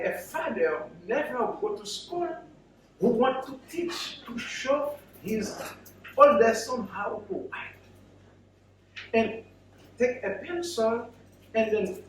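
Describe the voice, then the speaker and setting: Male guest speaker, Haitian accent, high voice, unhurried and halting, telling his own story to a room. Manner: halting, short broken phrases. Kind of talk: personal story told to an audience. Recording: very echoey, distant microphone, faint noise.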